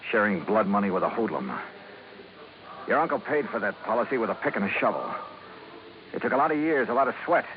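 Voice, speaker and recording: An actor playing a wry, tough insurance investigator, narrating in clipped noir style as in a 1950s radio drama. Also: male voice, fairly low pitch, lively intonation, quick pace, long pauses, a crowd in the background, close to an everyday voice. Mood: accusing. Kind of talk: 1950s radio detective drama acting, first-person narration. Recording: dull old radio broadcast with music.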